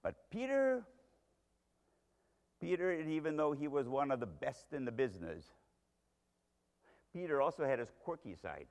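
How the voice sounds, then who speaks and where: A man's voice: a little high, big pitch swings, a little slow, gravelly, long pauses, darkish tone. A man preaching a sermon to a congregation, telling the story dramatically and with strong emphasis. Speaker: pastor. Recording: long hall reverb.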